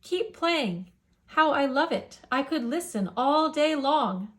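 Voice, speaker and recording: A woman's voice: high-pitched, animated, takes it slowly, fairly bright tone, voice pushed up, heavily acted. A woman voicing a huge, jovial male giant who coaxes in rhyme for a children's storybook. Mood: jovial, coaxing. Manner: coaxing, rhyming speech. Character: huge many-headed male giant, jovial, coaxing, music-loving.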